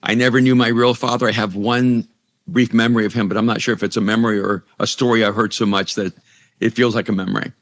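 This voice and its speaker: A man's voice: fairly low pitch, little pitch movement, fast, a bit bright. Earnest man with frequent restarts. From El Salvador. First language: Spanish. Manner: earnest, many restarts and repeated words. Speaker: author and pastor guest. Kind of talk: conversational interview.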